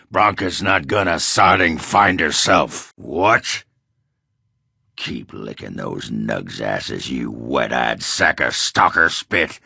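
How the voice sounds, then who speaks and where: A male actor playing a gruff, crude, hard-drinking dwarf warrior in a video game, cranky and boastful, with a growling, sardonic, blustering delivery. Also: extremely low pitch, pushed and bright, dry recording, studio quality, heavily acted. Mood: surly, scornful. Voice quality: gravelly, growling rasp. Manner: blustering, earthy swearing, sardonic. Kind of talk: video game character acting.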